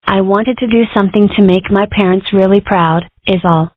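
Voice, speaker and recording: A woman's voice: mid-range voice, fairly even intonation, normal speed, dry recording, lightly acted. A woman voicing an earnest, fretful young fox girl in a comic dub. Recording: tinny, phone-like, dry.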